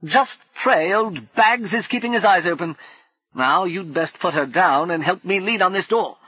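Brash daredevil adventurer, voiced by a man, raised high and shouted, hearty clipped bluster, dull lo-fi sound. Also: expressive, moderate pace, breathy edge, no echo. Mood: brisk. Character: bold reckless male adventurer, brash and jaunty. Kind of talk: audiobook character voice.

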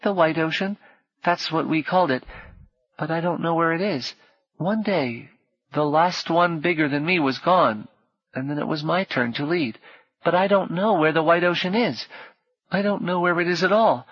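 A man voicing a frightened, grieving young boy who speaks softly and haltingly through tears. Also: fairly high pitch, slightly rough, no echo, lo-fi sound, pitched above the performer's own voice. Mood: wistful. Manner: tearful, halting, soft.

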